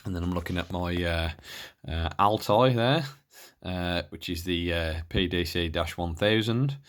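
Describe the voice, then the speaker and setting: A man's voice: deep voice, unhurried. A British man giving a casual, unscripted demo of his radio gear in a rambling, hesitant way, often interrupting himself. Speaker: hobbyist showing and reviewing his radio gear. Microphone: handheld camera.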